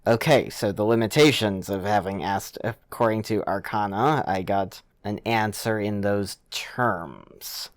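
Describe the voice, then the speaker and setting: A man's voice: fairly low pitch, unhurried, hardly any pauses. A man playing a tabletop roleplaying game, thinking aloud in casual table talk.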